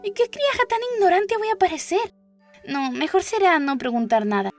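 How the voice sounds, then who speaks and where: A woman's voice: extremely high pitch, lively intonation, normal speed, soft background music, voice pushed up. A woman voicing a curious, dreamy young girl who chatters and muses to herself in a storybook. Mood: chattering, curious. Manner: musing aloud, questioning, wordy. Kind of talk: storybook character voice.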